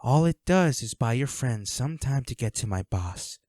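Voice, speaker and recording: A man's voice: medium pitch, animated, normal speed, a little mellow, dry recording, studio quality, natural voice. A man playing a flirty, smug villain henchman in a roleplay audio drama, taunting a captive in a teasing, coolly controlling manner. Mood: smug, bargaining.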